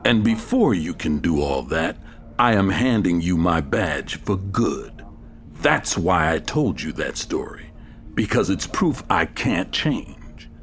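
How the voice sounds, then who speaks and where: An actor playing an intense, self-tormented police detective in an audio drama, speaking in a dramatic, confessional manner. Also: male voice, low-pitched, sing-song, slow pace, exaggerated voice. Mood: anguished, resolute. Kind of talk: audio fiction acting.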